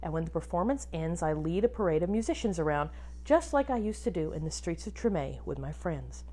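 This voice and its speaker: A woman reading a children's book aloud expressively, like a teacher, pausing to instruct and put questions to young listeners. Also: fairly low pitch, animated, warm tone. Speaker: music teacher reading a picture book to pupils.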